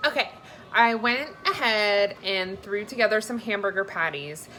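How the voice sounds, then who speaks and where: A woman's voice: medium pitch, normal speed, sharp tone, soft background music. A woman in her thirties giving chatty, explanatory recipe talk in a casual vlog from her home kitchen. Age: 30s. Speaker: cooking vlogger. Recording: faint background noise in kitchen.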